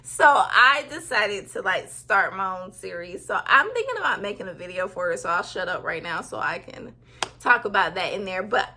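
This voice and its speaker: A woman's voice: medium pitch, expressive, moderate pace, background noise. Giggly woman in her thirties. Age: 30s. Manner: giggly, bubbly, lots of "like". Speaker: sewing vlogger. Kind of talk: casual chat.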